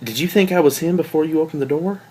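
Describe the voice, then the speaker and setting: A man's voice: a little high, quick pace, darkish tone, breathy edge. A man playing a jealous, hurt boyfriend in a dramatic table read, questioning in a pointed, sardonic way. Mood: hurt, insistent. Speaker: actor in a script table read.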